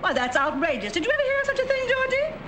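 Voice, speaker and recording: An actress playing a fussy, haughty middle-aged woman shopper in a 1940s film, with a shrill, indignant way of talking. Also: sing-song, racing. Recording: hissy 1940s film soundtrack.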